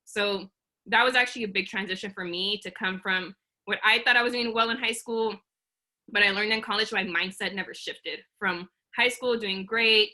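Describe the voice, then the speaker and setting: A woman's voice: mid-range voice, fairly even intonation, normal speed, sharp tone. A woman in her thirties from Oakland, earnestly presenting a career webinar over a video call, with frequent um's and restarts. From Oakland, California. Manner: earnest, uses many fillers and restarts.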